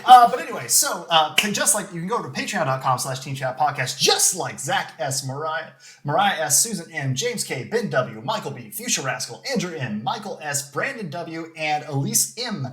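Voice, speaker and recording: Lively young man hosting a podcast. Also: a little high. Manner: animated, quick sponsor reads. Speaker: podcast host. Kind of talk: casual live-stream chat. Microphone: clip-on lapel mic.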